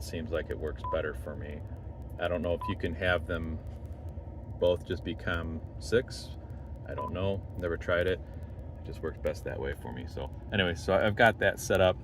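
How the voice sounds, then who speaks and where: Male hobbyist demonstrator, very deep register, informal off-the-cuff explaining, recorded with plain vehicle noise. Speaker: amateur radio operator demonstrating contest setup. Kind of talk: casual unscripted tutorial, thinking aloud. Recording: noisy vehicle interior, clear background noise.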